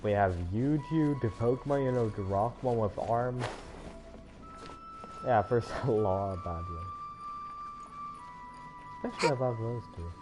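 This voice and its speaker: Male game streamer, mid-pitched, rambling off the cuff, with clear game music behind him. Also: unhurried, long pauses. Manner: rambling, thinking aloud. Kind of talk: casual livestream chat while gaming.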